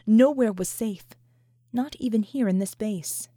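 A woman's voice: medium pitch, a little slow, warm tone, no room sound. A woman reading scripted fiction as an audiobook narrator.

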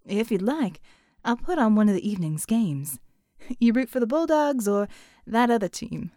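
A woman voicing a quick-witted barmaid with a drawl, dry and flirty. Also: mid-range voice, expressive, mellow, no echo, lightly acted. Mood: teasing.

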